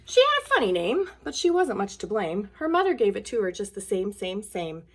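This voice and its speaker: A woman with a mid-pitched voice speaks to children in a casual, simple way. She sounds like a school nurse hosting story time, using plain phrasing made for young listeners. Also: huge pitch swings, a little fast, a little hiss or hum. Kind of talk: casual talk to children and read-aloud storytelling.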